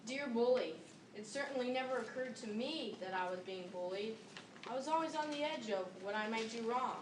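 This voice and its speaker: A woman's voice: a little high, lively intonation, a little fast, distant mic. Young girl doing a scripted monologue, echoey room, noisy. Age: about 12 to 13, seventh grader. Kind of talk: readers theater recital of a scripted monologue. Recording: echoey room from afar, clear noise.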